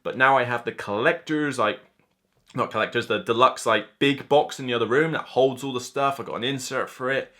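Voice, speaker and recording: A man's voice: medium pitch, fairly quick, sharp tone. A man in his thirties giving an enthusiastic, informal and emphatic board game countdown review to camera, speaking indoors. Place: indoor room lined with game shelves.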